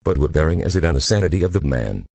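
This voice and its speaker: Impatient, pompous district attorney played by a man, extremely low and somewhat husky, formal courtroom radio drama. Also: expressive, fast talker, a little mellow, dry recording, full character voice. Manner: formal, argumentative, sarcastic. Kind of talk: radio play courtroom acting.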